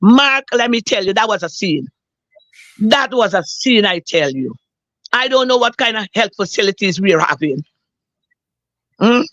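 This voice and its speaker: A hoarse woman, calling a talk show and earnestly telling a personal story, repeating herself for emphasis. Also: mid-range voice, lively intonation, unhurried, pauses often, fairly bright tone, dry recording, muffled recording. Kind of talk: emotional personal account.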